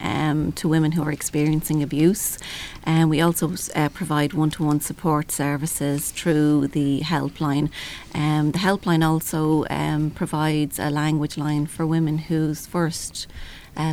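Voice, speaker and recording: A woman with an Irish accent speaking haltingly as a helpline manager in a panel discussion. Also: sits low, a little mellow, slight background noise.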